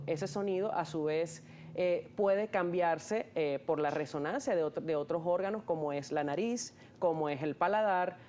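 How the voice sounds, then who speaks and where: A man's voice: high-pitched, a little mellow, slight background noise. A man, an ear, nose and throat doctor, patiently explaining terms in an interview, often restarting his sentences with fillers. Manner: hesitant, many restarts and fillers.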